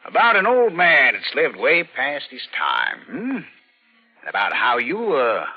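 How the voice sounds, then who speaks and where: Dull vintage radio recording of a scheming American crook in a thriller. His medium-pitched male voice swings dramatically up and down. He is menacing underneath a sly, mock-friendly manner. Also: wildly swooping pitch, slow, husky, faint music behind, heavily acted. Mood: sly, insinuating. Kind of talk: 1940s radio thriller acting.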